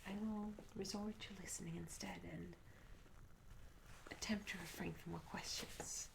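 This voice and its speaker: A woman playing a curious, polite medieval barmaid in a soft, breathy whispered ASMR roleplay, hesitant and courteous with old-fashioned formal phrasing. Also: on the low side, steady tone, moderate pace, background noise. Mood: intrigued, apologetic. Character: curious, polite young medieval tavern barmaid, eager for tales.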